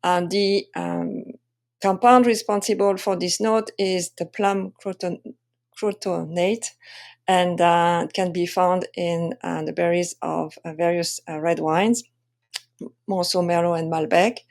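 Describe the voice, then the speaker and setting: French-accented woman presenting a lesson, halting delivery. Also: lowish voice, fairly even intonation, darkish tone. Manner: explanatory, halting with frequent pauses. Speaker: wine sensory expert and tasting coach. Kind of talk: presentation lesson to camera or slides.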